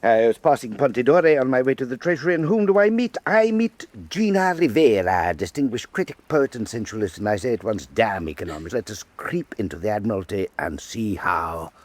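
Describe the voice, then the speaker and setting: An actor playing an imperious, effusive nobleman and art patron in a historical radio drama, declamatory and husky. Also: medium pitch, big pitch swings, fairly quick, few pauses, no room sound. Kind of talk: full-cast historical radio drama acting.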